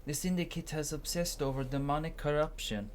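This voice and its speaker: A mid-pitched voice reads video game dialogue aloud as a narrator voicing the characters. The delivery is halting, with stumbles and restarts, and there is some noise behind it. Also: fairly even intonation.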